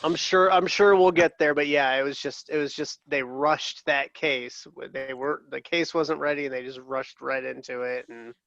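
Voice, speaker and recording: A man's voice: mid-range voice, steady tone, moderate pace, vocal fry, hardly any pauses, a bit bright, no room sound, dull audio. A man co-hosting a casual comedic podcast, wry and self-deprecating in the way he talks. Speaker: podcast co-host.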